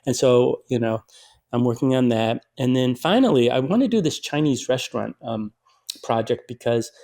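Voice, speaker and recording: A middle-aged man from Detroit tells stories in an interview, his pitch jumping up when something excites him. He talks with plenty of fillers and quick restarts, like a friendly storyteller. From Detroit, USA. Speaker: writer and filmmaker guest. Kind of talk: conversational interview.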